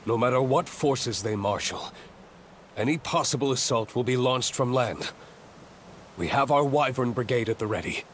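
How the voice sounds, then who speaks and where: Deferential royal advisor with swooping pitch played by a man. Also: lively intonation, normal speed, slight background noise, lightly acted. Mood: confident. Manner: formal, hesitant before his liege. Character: deferential, uneasy male royal military advisor. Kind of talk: video game cutscene acting.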